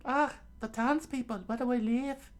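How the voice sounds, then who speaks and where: A man voicing a sharp-tongued, exasperated young woman pirate in a scolding falsetto for a read-aloud story. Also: steady tone, moderate pace. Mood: relieved.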